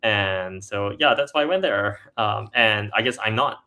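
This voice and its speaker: A young man from Singapore chatting as a podcast guest. His voice sits a little low and has a gravelly edge. He stacks fillers and restarts sentences as he thinks aloud. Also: moderate pace, sharp tone. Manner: filler-heavy, restarts often, laughs between points. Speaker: podcast guest, startup co-founder. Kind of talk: casual interview chat.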